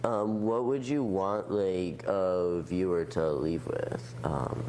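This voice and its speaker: A male interviewer asking questions in a hesitant way, full of "um" and quiet "mm-hmm" prompts. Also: sits low, slow, slight background noise. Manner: hesitant, many "um"s, steady "mm-hmm" backchannel. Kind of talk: oral history interview.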